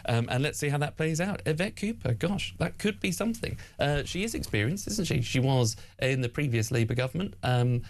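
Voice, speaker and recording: A man's voice: on the high side, expressive, a little fast, few pauses. A man guest-presenting a talk radio show, asking long winding questions in a chatty, teasing way.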